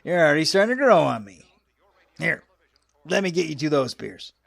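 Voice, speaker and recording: Picture a wary backwoods hermit played by a man in a radio play. His pitch sits a bit high and swings around freely, with a husky grain. He talks in a folksy, teasing way. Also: very animated, moderate pace, fairly bright tone, no room sound, full character voice. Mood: folksy, guarded. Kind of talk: audio drama dialogue.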